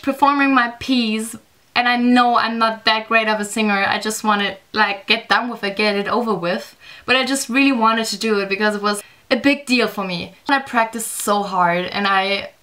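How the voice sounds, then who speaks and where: German-accented young woman, bright voice, rambling vlog storyteller. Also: fairly high pitch, steady tone, moderate pace. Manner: chatty, many fillers. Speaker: vlogger. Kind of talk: casual storytime. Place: room lit by daylight.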